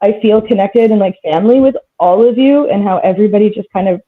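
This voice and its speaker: A woman's voice: medium pitch, fast talker. Woman on a tinny call line. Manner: warm, gently supportive. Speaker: recovery meeting participant. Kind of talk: casual group sharing. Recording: tinny phone-like video call audio.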